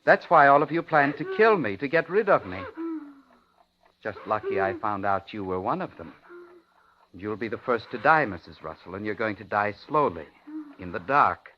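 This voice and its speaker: A man's voice: fairly low pitch, animated, moderate pace, close to an everyday voice. An actor playing a paranoid, coldly polite madman who taunts and menaces his captive, in 1950s radio thriller style. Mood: menacing, gloating. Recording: muffled, noisy 1950s radio recording.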